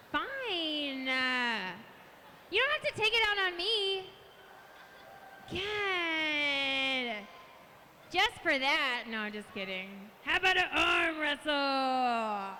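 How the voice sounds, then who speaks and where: Very high, bright woman announcer, teasing. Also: expressive, slow, slight background noise. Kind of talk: playful live event announcing.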